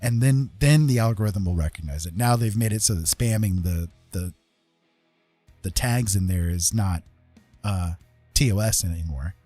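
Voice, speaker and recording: A man's voice: deep voice, normal speed, warm tone, faint music behind. A middle-aged man chatting casually and self-deprecatingly as a livestream host, in a rambling, conversational way. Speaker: livestreamer hosting an art tutorial and chat. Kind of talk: casual chat to a live chat room.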